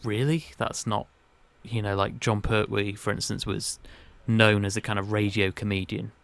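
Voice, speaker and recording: A man's voice: on the low side, fairly even intonation, moderate pace, creaky, a bit bright. A man giving his opinions in casual podcast chat, thinking aloud and rephrasing as he goes. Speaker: podcast panellist.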